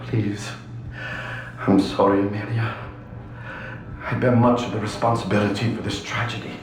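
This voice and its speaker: An actor playing a frantic, guilt-ridden scientist in a video game, pleading and stammering with urgent repetition. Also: male voice, fairly low pitch, level tone, moderate pace, slight background noise, lightly acted. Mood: anguished, pleading. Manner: stammering, repeats himself urgently. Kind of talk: dramatic video game acting. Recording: very echoey, music underneath.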